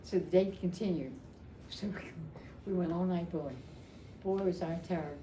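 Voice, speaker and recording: An older woman telling a personal story to a gathering in a chatty, halting way, repeating phrases as she goes. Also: fairly low pitch, dark tone. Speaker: storyteller at a family memorial. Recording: echoey room, clear background noise.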